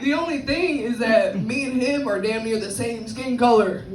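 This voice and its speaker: A woman from the South Bronx with a Bronx accent and a deep, slightly cracked voice, doing a brash, crude stand-up set on a club stage. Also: normal speed, crowd noise. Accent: Bronx New York. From South Bronx, New York. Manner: brash, crude, self-mocking punchlines. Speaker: stand-up comedian. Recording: echoey club, far from the mic. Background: audience laughter.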